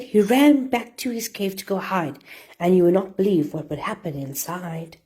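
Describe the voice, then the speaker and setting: Lively, husky woman storyteller. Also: a little high. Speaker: children's storyteller and host. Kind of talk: expressive rhyming fairy-tale narration for children.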